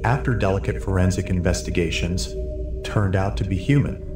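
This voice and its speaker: A man reading scripted documentary-style horror narration in a measured, formal manner. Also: deep voice, echoey room. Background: music.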